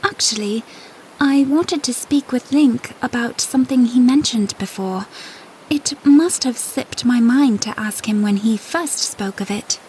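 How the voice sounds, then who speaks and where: An actress playing a gentle, earnest young princess in an audio drama. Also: female voice, a little high, a little slow, slight background noise, lightly acted, low-quality recording. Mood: gentle correction. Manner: gentle, intent.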